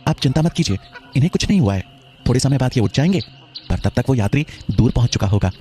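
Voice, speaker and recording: A man voicing a brave, calm fourteen-year-old boy in a historical story, polite and reassuring. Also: mid-range voice, fast talker, faint music behind, pitched above the performer's own voice, close to an everyday voice.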